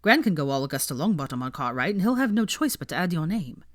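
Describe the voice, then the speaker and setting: A woman voicing a mild, kindly, slightly awkward young man in an audiobook story, gentle and measured. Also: sits low, sing-song, no room sound, clean studio sound. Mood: warm, reassuring. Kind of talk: fan fiction audiobook character voice.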